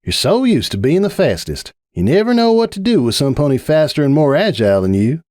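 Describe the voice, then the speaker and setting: A man's voice: fairly high pitch, big pitch swings, a little fast, darkish tone, dry recording, clean studio sound, voice pushed up. A man voicing a cocky, teasing female pony athlete with folksy, taunting talk in a story reading. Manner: taunting, folksy informal speech.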